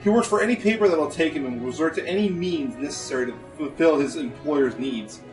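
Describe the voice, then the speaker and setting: A man acting as a wary male pony with a measured, explanatory delivery in a radio play. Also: on the high side, a little mellow, soft background music, close to an everyday voice.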